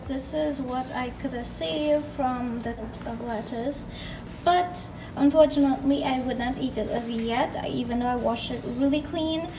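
A woman in her twenties with a Trinidadian accent, casually narrating a home cooking vlog as she works. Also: on the high side. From Trinidad and Tobago. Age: 20s. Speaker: vlogger. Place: home kitchen, indoors. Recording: noisy kitchen, distant microphone.

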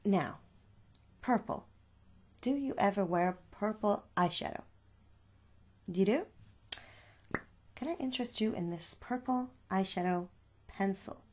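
A young adult woman doing a soft, reassuring ASMR makeup store roleplay, chatting casually and repeating herself as a shopkeeper. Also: mid-range voice, measured pace, pauses often, a little hiss or hum. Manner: reassuring, repetitive, conversational. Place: small room at home.

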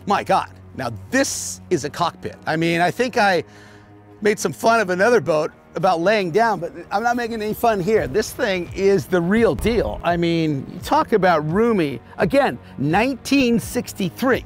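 Middle-aged male host, upbeat casual boat-tour delivery, a bit high, faint music under. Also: animated, normal speed. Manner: enthusiastic, talks while pointing and moving. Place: aboard a sailboat in a marina, on deck and below.

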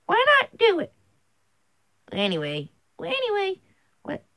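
A self-conscious amateur creator rambles apologetically to camera. The voice is very high, swings widely in pitch and has some gravelly creak. It sounds like casual bedroom chat. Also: wildly swooping pitch, unhurried, fairly bright tone, dry recording, studio quality. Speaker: amateur video creator and puppeteer. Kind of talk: casual chat to camera introducing a homemade puppet show. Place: small bedroom indoors. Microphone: camera on the bed, close up.

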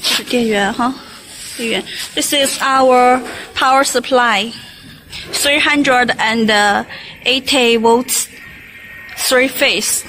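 A Chinese-accented woman with a fairly high voice gives hands-on instructions in short, simple phrases. She speaks slowly and haltingly, as a native Mandarin speaker would in a second language. Clear workshop noise and hum sit behind her. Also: fairly even intonation, bright, forceful tone. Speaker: machine installation demonstrator. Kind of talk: step-by-step hands-on equipment instruction. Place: industrial workshop, indoors. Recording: noisy workshop with vehicle-like hum.

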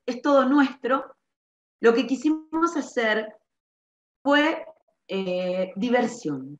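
A middle-aged woman with an Argentine accent from around Rosario, informally explaining a school project as a librarian, with hesitant pauses and stretched words. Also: medium pitch. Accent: Argentine, Rosario area. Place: small bare-walled room indoors. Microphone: computer microphone on a video call. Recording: dull, echoey webcam audio.

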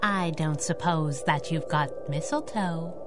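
Woman playing a sassy, unhurried superhero sidekick. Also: deep voice, music in the background. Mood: teasing. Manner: sassy, slangy. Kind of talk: 1930s radio serial acting.

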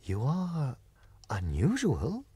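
A man voicing a gracious, wise good witch who speaks in a measured, regal manner in a storybook reading. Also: mid-range voice, wildly swooping pitch, mellow, slightly rough, dry recording, exaggerated voice.

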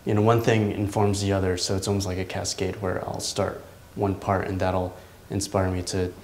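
A man in his thirties, an artist, talking reflectively in a seated interview in his studio, pausing often with ums and restarts. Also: sits low, little pitch movement, a little mellow. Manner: thoughtful, halting, many ums and restarts. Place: large indoor art studio. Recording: echoey studio room.

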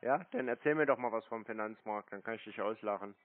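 A man's voice: fairly low pitch, fast, dry recording. A man in mocking phone banter, blunt, sarcastic and taunting, with a narrow phone-like sound. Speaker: scam baiter taking a call.

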